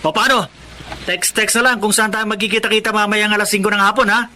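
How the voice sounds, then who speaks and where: Bright, forceful young Filipino hustler. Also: male voice, high-pitched, steady tone, a little hiss or hum. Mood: brisk, confident. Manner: brisk, instructing. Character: bossy young street hustler giving instructions. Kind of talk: Filipino radio drama acting.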